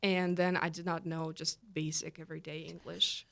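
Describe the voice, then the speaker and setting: A woman's voice: sits low, flat delivery, normal speed, creaky. A woman in her thirties from Russia with a Russian accent, a counselor speaking in a casual interview, earnest and often restarting as she searches for words. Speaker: guest counselor.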